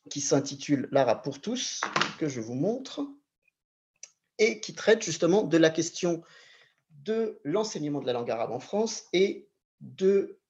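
A man with a gravelly, mid-pitched voice opens a panel talk, presenting each guest in turn. He speaks in a measured way, often pausing on hesitations. The sound is slightly muffled and lo-fi. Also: a little mellow. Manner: measured, hesitant with frequent fillers. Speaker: moderator. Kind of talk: introducing a panel discussion.

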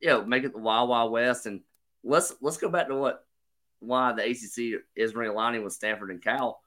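A man co-hosting a sports podcast, slightly hoarse, talking through analysis in a measured way. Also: medium pitch, a bit bright. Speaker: podcast co-host. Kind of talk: casual sports chat.

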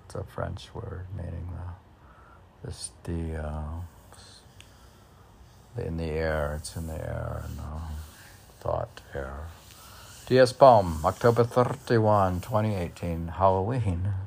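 A man with a very low voice and heavy creak reads poetry informally. He is slow and quizzical, as though thinking aloud to himself. A faint noise sits behind him. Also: animated, a little mellow. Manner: musing, quizzical, talking to himself. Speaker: poet reading own work. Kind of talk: informal poetry reading with self-questioning asides.

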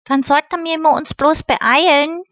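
A woman's voice: high-pitched, measured pace, fairly bright tone, no room sound, muffled recording, pitched above the performer's own voice. A woman voicing a careful, sensible boy, earnestly explaining.

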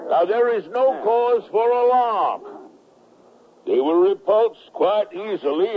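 An actor playing a dignified, steadfast Union general in a western radio drama, formal and courteous. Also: male voice, fairly high pitch, husky, heavily acted. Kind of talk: 1970s radio drama acting. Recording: dull, noisy 1979 radio recording.

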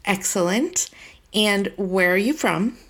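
A woman's voice: mid-range voice, expressive, slow, fairly bright tone. A woman hosting a travel podcast from New England, talking in an upbeat, chatty way and laughing often. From New England, United States. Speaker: podcast host and travel planner. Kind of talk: casual travel podcast chat.

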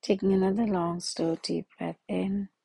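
A woman leading a guided meditation in a slow, soothing manner with long pauses. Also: mid-range voice, fairly even intonation, vocal fry, dark tone, breathy edge, no echo.

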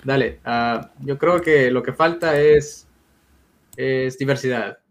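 A man's voice: medium pitch, slow pace. A man from El Salvador, a guest answering interview questions thoughtfully with many fillers over a video call.

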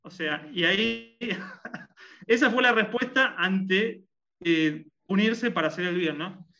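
Rioplatense male guest, bright, slightly high voice, halting, lots of fillers, dull lo-fi sound. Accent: Rioplatense Spanish. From Argentina and Uruguay. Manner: hesitant, many fillers and restarts. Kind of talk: conversational interview.

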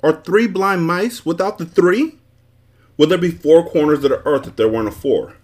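Man voicing a high-pitched, pompous, excitable many-faced creature. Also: a little fast, lightly acted. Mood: indignant, furious. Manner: precise, scolding, emphatic. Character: pompous, excitable male dodecahedron with many changing faces.

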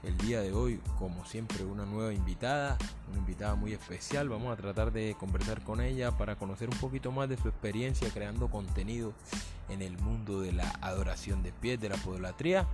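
A man's voice: fairly low pitch, fairly quick, over music. A man hosting an interview, speaking politely and wordily and repeating his phrases. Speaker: interview host.

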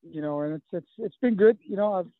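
South Texas man, halting, tinny line. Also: sits high, no echo. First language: Spanish. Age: 50s. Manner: halting, many restarts and fillers. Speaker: guest, running coach. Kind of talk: casual interview.

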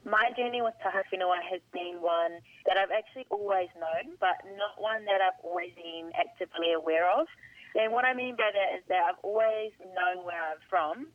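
A woman's voice: lowish voice, normal speed, dry recording. A woman with a New Zealand accent, a podcast guest talking in an earnest, reflective way over a phone call. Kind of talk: casual interview. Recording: phone line.

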